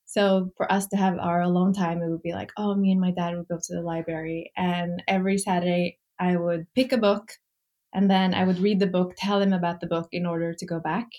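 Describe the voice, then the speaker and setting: A woman's voice: fairly low pitch, a little fast. A woman in her forties, raised in Norway, a children's book author chatting as a podcast guest and laughing easily. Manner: warm, laughs often, quotes family in lively voices. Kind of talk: casual conversation.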